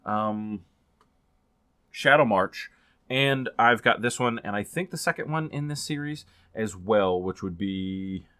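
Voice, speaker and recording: A man with a fairly low voice chats informally as a book reviewer. He rambles along conversationally and grows animated when he stresses a point. The sound is very dry, with hardly any room in it. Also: unhurried, clear, resonant tone, no room sound. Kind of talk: casual chatty book vlog.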